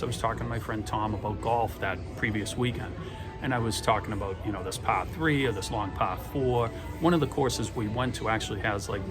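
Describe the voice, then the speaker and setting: A middle-aged man from Boston with a Boston accent, telling stories in a chatty, rambling way with dry humor. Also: on the low side. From Boston, USA. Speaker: vlogger. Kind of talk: casual storytelling monologue. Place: airport terminal with gate announcements. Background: music and airport PA announcements.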